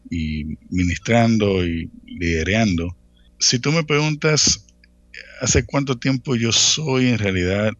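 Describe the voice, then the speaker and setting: Low-voiced Dominican man with pauses. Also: no room sound, highs cut off. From Dominican Republic. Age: late 30s. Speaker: interview guest, church ministry worker. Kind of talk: casual personal storytelling in an interview.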